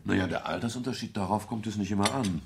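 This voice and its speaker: An actor playing a middle-aged private detective, courteous, wry and a little hesitant, in a German radio crime drama. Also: male voice, fairly low pitch, fast, gravelly, slightly rough. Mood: flustered. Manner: hesitant, politely ironic. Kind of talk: 1970s German radio crime drama, dialogue and first-person narration.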